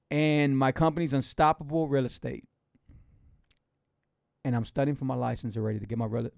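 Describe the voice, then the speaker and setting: A man's voice: medium pitch, vocal fry, no room sound, clean studio sound. A man nearing fifty gives casual, rambling motivational talk to his live viewers, repeating himself and urging them on. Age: 40s. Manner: rambling, repetitive, animated and encouraging. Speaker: motivational speaker.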